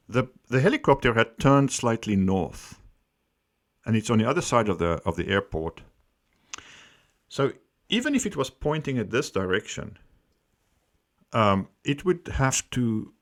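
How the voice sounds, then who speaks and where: A man giving unscripted explanatory commentary as a host, speaking deliberately and emphatically, repeating phrases for stress. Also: fairly low pitch. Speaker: host giving accident analysis.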